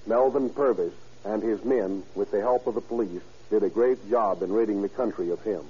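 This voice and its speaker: Man, American accent, low-pitched, dark tone, formal police chief oratory, vintage radio address, dull sound. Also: moderate pace, slightly rough. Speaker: police chief guest commentator. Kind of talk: 1930s radio address, scripted. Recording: muffled vintage 1930s radio broadcast.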